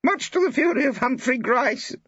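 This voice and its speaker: Squawking, high-pitched gossipy male don. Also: expressive, normal speed, a bit bright, breathy edge, no room sound, highs cut off, heavily acted. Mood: excited gossip. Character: excitable gossipy spiteful male academic. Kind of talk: audiobook character acting.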